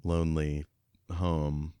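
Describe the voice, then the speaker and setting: A man with an extremely low, resonant voice talks casually as a podcast co-host. The sound is close and dry, and his phrasing is reflective, feeling its way through each thought. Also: flat delivery, takes it slowly, warm tone, clean tone, no room sound. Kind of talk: casual book discussion.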